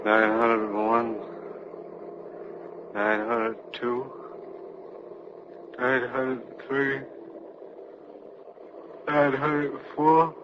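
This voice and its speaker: Grumbling trucker, noisy old radio. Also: male voice, mid-range voice, flat delivery, drawn-out words, long pauses. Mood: drowsy. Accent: American. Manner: slangy, grumbling wisecracks. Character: grumbling, sleepy, wisecracking married male trucker. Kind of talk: 1940s radio acting. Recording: noisy, muffled 1940s radio broadcast.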